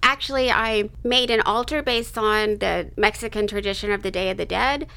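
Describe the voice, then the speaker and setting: A woman in her fifties talks casually as an interview guest, her voice bright and sharp-edged with a lot of gravel in it. She meanders, restarts her thoughts and adds little asides as she tells her story. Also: mid-range voice, moderate pace. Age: 50s. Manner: rambling, self-interrupting, laughs between thoughts.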